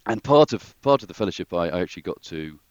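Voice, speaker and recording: A man's voice: sits low, lively intonation, fast, no echo, dull audio. A man, a guest engineer, talking through his career in a hesitant, thoughtful way with many restarts. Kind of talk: casual interview conversation.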